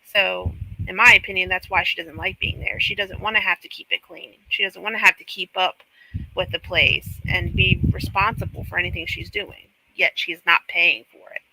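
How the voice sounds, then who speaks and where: A man's voice: high voice, fairly even intonation, moderate pace, vocal fry, pushed and bright, dry recording, poor audio. A man giving casual, pointed and critical opinions as a panel host. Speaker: panel host reading viewer comments.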